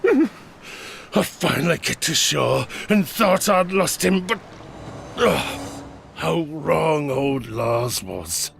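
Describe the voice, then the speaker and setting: A grizzled old sailor spins dark bedtime tales in a creaky, gravelly brogue. His pitch swings dramatically and he lingers over words for suspense, like a gruff audio drama storyteller. Faint ambient noise sits behind him. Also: expressive, very slow, long pauses, full character voice. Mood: ominous, spellbinding.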